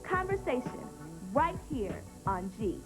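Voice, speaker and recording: A woman's voice: high voice, sing-song, unhurried. A woman hosting a show, reading a scripted introduction. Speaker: show host. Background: loud music.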